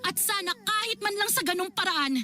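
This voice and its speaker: A woman's voice: extremely high pitch, moderate pace. A woman acting in a radio drama as an exasperated, protective older sister, emphatic and confrontational. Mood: pleading, indignant. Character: exasperated, protective older sister scolding her irresponsible sibling. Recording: music behind the voice. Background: music.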